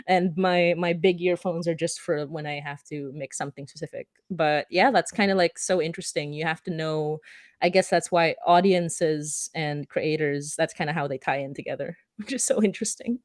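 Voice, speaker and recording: A woman's voice: fairly low pitch, a little mellow, no room sound, studio quality. A woman hosting a podcast discussion in a casual, chatty, anecdotal way. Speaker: podcast host and moderator. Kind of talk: casual chat.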